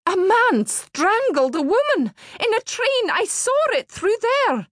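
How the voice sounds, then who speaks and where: Older British lady passenger, played by a female narrator: urgent, emphatic, high-pitched and insistent. Audiobook, dry sound. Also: sing-song, slow, a bit bright, no echo, heavily acted. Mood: alarmed, insistent. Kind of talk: audiobook character acting.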